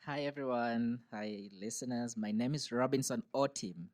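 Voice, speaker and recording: A man, guest on a podcast, cheerful and explaining things patiently. His voice sits at an ordinary middle pitch, and he talks close to a studio mic in a university studio. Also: normal speed, a little mellow. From Uganda. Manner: cheerful, explanatory, laughs easily. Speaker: interview guest. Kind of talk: casual interview conversation.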